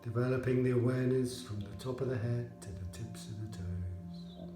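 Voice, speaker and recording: A man leading a guided yoga relaxation as an instructor, speaking in a measured, soothing manner with pauses. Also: mid-range voice, roomy sound, music in the background. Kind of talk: guided relaxation meditation narration.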